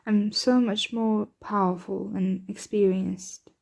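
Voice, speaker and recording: A woman playing a protective, doting yet anxious vampire mistress in an ASMR roleplay, breathy and often whispering, with formal, measured diction. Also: mid-range voice, steady tone, a little mellow. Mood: soothing, affectionate.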